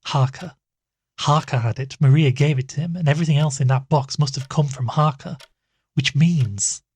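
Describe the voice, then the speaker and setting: An actor playing an earnest, cautious investigator in an audio horror drama, asking questions in a serious way. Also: male voice, a little high, moderate pace, darkish tone, slightly rough, no room sound. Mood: intent. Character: inquisitive male investigator and podcaster, earnest, cautious. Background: music.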